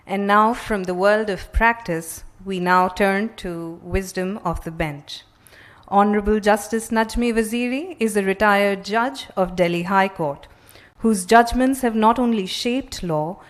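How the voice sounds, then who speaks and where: A woman moderates a conference panel, reading a polished, ceremonial introduction. Her pitch sits in an ordinary middle range, and her delivery is smooth and formal. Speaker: event moderator. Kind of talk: scripted introduction.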